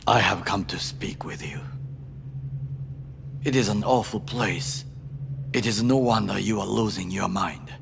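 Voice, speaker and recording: An actor playing a stern older bodyguard in a game, speaking gravely and formally with a gravelly voice. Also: male voice, fairly low pitch, a little slow, pauses often, background noise. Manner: grave, formal, uncontracted phrasing.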